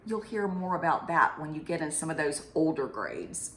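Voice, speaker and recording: A woman's voice: low-pitched, big pitch swings, moderate pace, a bit bright. A woman teaching young children in an encouraging way, with simple phrasing and questions, as in a math lesson or storytime. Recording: echoey room.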